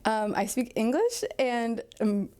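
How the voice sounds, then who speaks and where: An eighteen-year-old woman, a high school senior, answering interview questions in a chatty way, with lots of "like". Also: very animated, takes it slowly, dark tone. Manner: chatty, uses "like" a lot.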